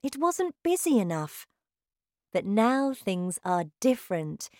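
A woman voicing a kind schoolteacher who speaks softly and gently. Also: mid-range voice, very animated, slow, no room sound, studio quality. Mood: gentle. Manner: soft, gentle, reassuring.

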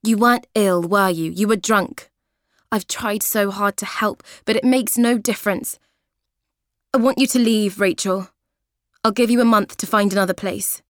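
A woman voicing an angry young woman scolding her flatmate in a raised voice. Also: medium pitch, moderate pace, no echo, studio quality, lightly acted.

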